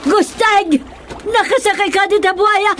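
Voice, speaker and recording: A woman playing an excitable, alarmed village woman in a radio drama, with shrill exclamations. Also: measured pace. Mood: panicked. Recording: background noise, splashing water.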